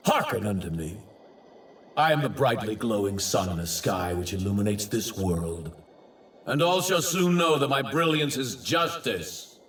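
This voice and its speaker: An actor playing an arrogant, self-righteous villain god in an anime dub, declaiming in a grandiose, archaic and theatrical manner. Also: male voice, fairly low pitch, big pitch swings, unhurried, fairly bright tone. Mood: grandiose, solemn. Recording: roomy, distant, faint effects noise.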